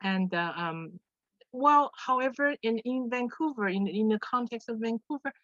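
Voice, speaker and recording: A woman's voice: fairly high pitch, normal speed, fairly bright tone, dry recording, lo-fi sound. A woman speaking earnestly on a video call panel, repeating herself and using non-native grammar.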